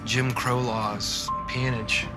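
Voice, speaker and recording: An actor playing a distressed, self-pitying man seeking advice in halting, hesitant film dialogue. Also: medium pitch, flat delivery, loud music behind the voice, natural voice. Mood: troubled, pleading.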